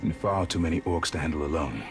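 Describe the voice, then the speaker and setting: An actor playing a stoic, loyal warrior in a video game, solemn and terse. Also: male voice, very deep voice, quick pace, soft background music. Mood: businesslike.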